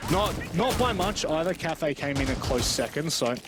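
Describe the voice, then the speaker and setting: Man, esports match commentator, live play-by-play, clear game gunfire and clicks behind. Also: fairly high pitch, lively intonation, normal speed, background noise. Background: video game gunfire and clicks.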